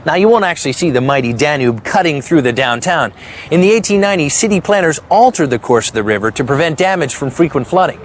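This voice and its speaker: A man hosting a travel show, giving lively, enthusiastic scripted narration. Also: a little high, quick pace, slight background noise.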